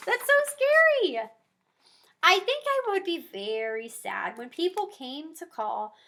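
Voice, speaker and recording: A woman reading a story aloud to young children in an expressive, encouraging manner, pausing to chat with the listeners. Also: sits high. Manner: animated, encouraging, asks listeners simple questions.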